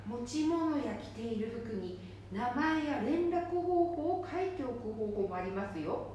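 A courteous care manager acted by a woman explains things soothingly in a high voice. It is an amateur radio play, recorded at a distance in a room with strong echo. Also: animated, measured pace, warm tone, highs cut off. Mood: persuasive. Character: polite, reassuring female care manager. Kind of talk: amateur radio drama acting. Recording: very echoey room, distant mic, faint noise.

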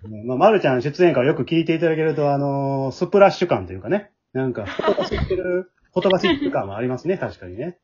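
Male podcast host, Kansai accent, lively swooping intonation, quick delivery, slightly muffled recording. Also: fairly high pitch, husky. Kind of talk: casual chat hosting.

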